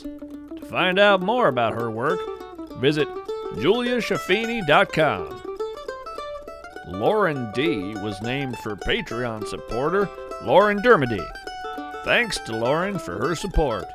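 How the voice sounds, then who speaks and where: A man's voice: on the high side, sing-song, measured pace. A man hosting and narrating a scripted storytelling podcast. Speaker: host and narrator. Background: plucked mandolin music.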